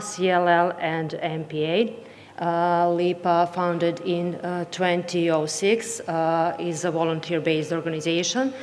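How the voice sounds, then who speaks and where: Nervous Serbian-accented woman in an echoey hall. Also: fairly low pitch. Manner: nervous, halting delivery in non-native English. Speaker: patient advocate speaking at a conference. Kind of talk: conference presentation. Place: conference hall, speaking to an audience. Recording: echoey hall with clear background noise.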